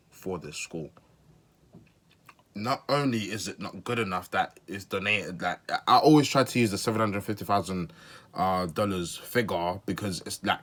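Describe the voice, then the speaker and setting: Low-voiced, husky yet bright male podcaster from Zimbabwe. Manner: incredulous, questioning, repeats points for emphasis. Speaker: podcast host. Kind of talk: casual reaction commentary.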